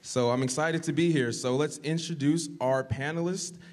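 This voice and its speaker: A man's voice: a little high, fairly even intonation, a little mellow. Friendly young man moderating a panel. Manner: friendly, loose, quick to thank the crowd. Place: community hall with audience. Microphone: handheld mic.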